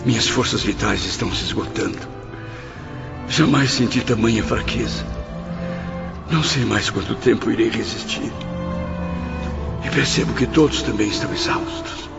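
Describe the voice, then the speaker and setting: An actor playing the anguished, weakened spirit of a gentleman in a theatrical radio drama, with formal, lamenting diction. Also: lowish voice, moderate pace, creaky, pauses often. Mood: exhausted, despairing. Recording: muffled radio drama with loud music.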